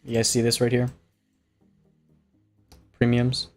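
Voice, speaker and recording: A man's voice: medium pitch, monotone, slow, soft background music. A man with a slight Hawaiian accent, chatting casually on a game live stream, rambling with fillers and restarts as he answers viewers. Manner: rambling, many fillers and restarts, reading chat aloud.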